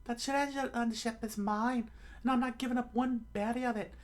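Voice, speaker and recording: A woman's voice: fairly high pitch, steady tone, fairly quick, pitched above the performer's own voice, close to an everyday voice. A woman voicing a hot-tempered, greedy young female thief who scolds indignantly in an adventure story. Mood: angry scolding.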